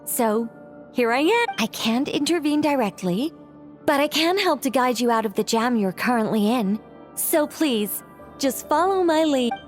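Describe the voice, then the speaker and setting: A woman voicing an earnest, articulate young female guide in a video game, polite and expressive. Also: sits high, a little slow, soft background music. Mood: composed, helpful.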